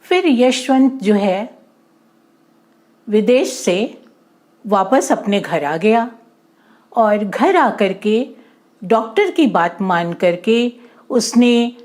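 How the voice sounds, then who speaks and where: A woman narrating a moral story for children in an expressive, explanatory way, repeating and rephrasing her points and mixing in English words. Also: a little high, very slow, long pauses.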